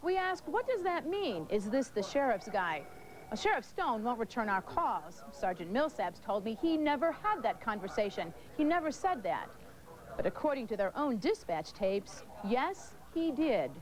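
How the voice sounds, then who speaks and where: A woman's voice: fairly high pitch, big pitch swings, normal speed. A woman with an American accent delivering a broadcast news report as a television reporter.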